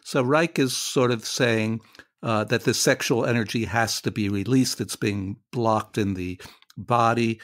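A man's voice: mid-range voice, fairly even intonation, a little slow, dry recording, studio quality. A man interviewing on radio in a conversational way, summarizing ideas and putting questions.

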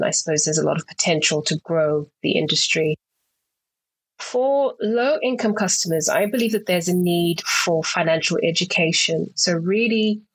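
A woman from Zimbabwe, an actuary and board member, giving measured, articulate answers as a podcast guest over a remote call. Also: fairly low pitch, no room sound, poor audio.

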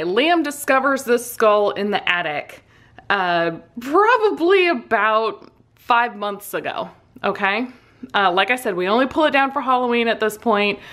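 Female vlogger, slightly high voice, casual and self-deprecating storyteller, audibly amused. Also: fairly bright tone. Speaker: vlogger and soap maker. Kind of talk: casual personal storytelling voice-over.

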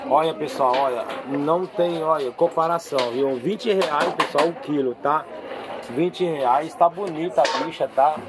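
A Brazilian man with a fairly high voice talks casually to his viewers as a street market vlogger. He is chatty and keeps tacking on short repeated tags. He is recorded outdoors with some crowd noise around him. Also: measured pace. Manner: chatty, repeats tag phrases.

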